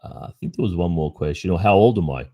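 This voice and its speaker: An Australian man in his early forties with an exceptionally low voice. He chats informally on a livestream, answering listeners' questions. His delivery is thoughtful, with pauses and the occasional hesitation. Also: expressive, dark tone. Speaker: ASMR roleplay streamer.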